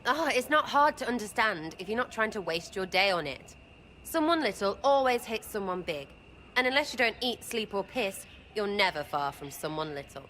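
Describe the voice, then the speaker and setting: A woman's voice: mid-range voice, very animated, normal speed, a bit bright, a little hiss or hum. A woman voicing a cheeky, irreverent young thief archer in a video game cutscene, brash and crude in her speech. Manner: brash, mocking, slangy and crude.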